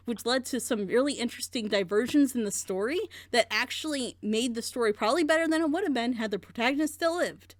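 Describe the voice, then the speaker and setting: Higher-voiced woman ranting passionately. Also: dry recording. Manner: frustrated, rambling, emphatic. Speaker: reaction channel host. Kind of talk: passionate casual critique.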